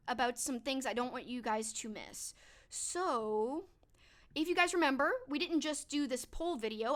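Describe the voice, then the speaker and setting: Young woman, high voice, stuffed-up nose, chatty livestream host, upbeat. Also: a bit bright. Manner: upbeat, chatty, thanking viewers by name. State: a bit stuffed up. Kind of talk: casual livestream wrap-up talking to chat.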